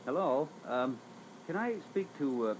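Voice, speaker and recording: An actor playing a timid, fumbling rookie insurance agent in a training role-play, hesitant and apologetic. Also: male voice, medium pitch, very animated, a little slow. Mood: hesitant, nervous. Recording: noisy old reel-to-reel tape.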